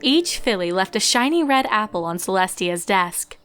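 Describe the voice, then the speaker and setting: A woman narrates a story in a mid-pitched voice. Her delivery is scripted and expressive, in the manner of a fiction reading, with music playing behind her. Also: moderate pace, clear, resonant tone. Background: background music.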